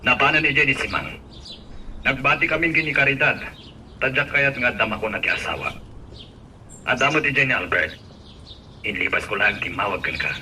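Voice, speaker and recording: A man playing a serious older gentleman in an Ilocano radio drama. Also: medium pitch, brisk, long pauses. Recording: distant pickup with faint ambient noise.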